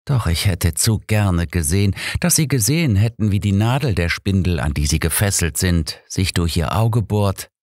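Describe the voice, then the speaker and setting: A man's voice: low voice, lively intonation, moderate pace, hardly any pauses, a little mellow, dry recording, studio quality. A man voicing a sadistic, vengeful killer who speaks with cold scorn and mockery in an audiobook thriller. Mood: cold, gloating. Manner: scornful, taunting. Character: sadistic, vengeful male killer, coldly mocking.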